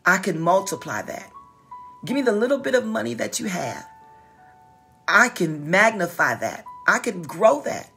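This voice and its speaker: A middle-aged woman pastor delivers an informal livestream sermon in a fairly low, very gravelly voice. She has a slight stutter and repeats key phrases emphatically. Faint music sits behind her. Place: small wood-paneled office.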